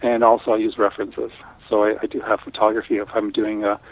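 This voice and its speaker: A middle-aged man from Canada, Italian as his first language, talking in a thoughtful, unhurried way with frequent restarts in an interview. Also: fairly low pitch, little pitch movement. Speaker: guest artist. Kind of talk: casual interview talk. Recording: tinny phone line.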